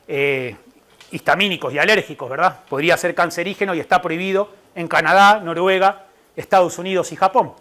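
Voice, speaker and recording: A man's voice: on the high side, a bit bright. A middle-aged man from Argentina with an Argentine Spanish accent, a nutritionist giving an informal talk to a live audience, explaining things step by step and checking in with the listeners.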